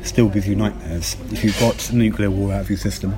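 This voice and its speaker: A man's voice: fairly low pitch, fairly even intonation, brisk, a crowd in the background. A man hosting a podcast interview in a conversational style, putting questions to his guest.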